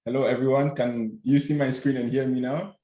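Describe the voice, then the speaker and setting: A young man from Ghana presents over a video call in a halting, slightly nervous way, stumbling and restarting with fillers as he goes. His middle-pitched voice sits in a noticeably roomy, echoing space. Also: steady tone, mellow. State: a bit nervous. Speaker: student workshop facilitator. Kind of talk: webinar presentation. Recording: roomy echo.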